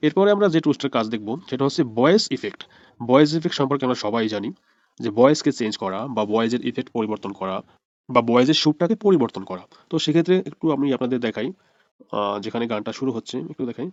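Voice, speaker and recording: Male tutorial narrator, extremely quick delivery, explains step by step, close dry recording. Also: mid-range voice, expressive, dry recording, muffled recording. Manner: explanatory, repeats points step by step. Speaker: video editing instructor.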